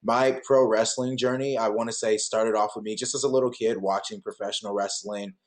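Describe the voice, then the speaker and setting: Wrestler guy on a podcast, kinda low voice, polite and steady, full sentences. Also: brisk. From Cameroon, raised in Oakland, California. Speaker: guest, pro wrestler. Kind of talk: interview answers.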